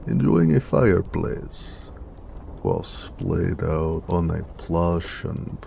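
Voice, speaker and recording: Male actor as orc blacksmith, dark mellow tone, thick vocal fry, affectionate, playfully sarcastic, romantic roleplay, faint background noise. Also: sing-song, slow, heavily acted. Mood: teasing sarcasm. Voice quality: deep, gravelly. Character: gentle, teasing male orc blacksmith, doting lover. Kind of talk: romantic audio roleplay addressed to the listener.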